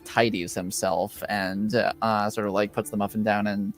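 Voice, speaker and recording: A man improvising narration as game master of a tabletop roleplaying game, speaking in a halting, deliberate way. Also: fairly low pitch, flat delivery, soft background music.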